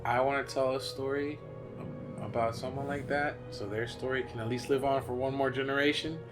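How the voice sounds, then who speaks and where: Halting man chatting over music. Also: mid-range voice, level tone. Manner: hesitant, halting prompts with many fillers. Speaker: podcast co-host. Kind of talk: casual chat.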